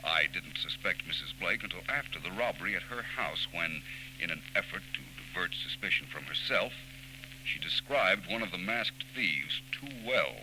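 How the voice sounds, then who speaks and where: Low male voice, suave private detective, coolly confident, precise, 1940s radio drama, noisy humming old recording. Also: expressive, normal speed. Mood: smug, explanatory. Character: suave, self-assured male private detective explaining his case.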